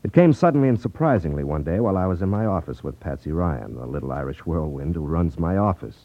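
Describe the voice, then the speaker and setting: Dull dry vintage radio recording, a confident private detective, deep voice, glib, sardonic. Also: male voice, animated, fast talker, no echo, heavily acted. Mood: confident, explanatory. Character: confident, wry male magician-detective. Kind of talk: 1950s radio drama narration and dialogue.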